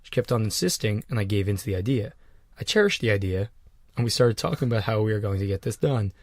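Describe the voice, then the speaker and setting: A man's voice: lowish voice, expressive, quick pace, a little mellow, no room sound, studio quality. A man co-hosting a podcast, chatting loosely with restarts and swearing. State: on cold medication. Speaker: podcast co-host. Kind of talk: casual chat.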